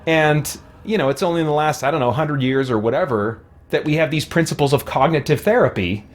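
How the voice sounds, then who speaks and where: A man's voice: a little high, a little fast. A man with a tired, worn voice, talking casually on a livestream in an earnest, reflective way and often correcting himself as he thinks aloud. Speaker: host, video game content creator. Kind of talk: casual livestream talk, answering viewer questions.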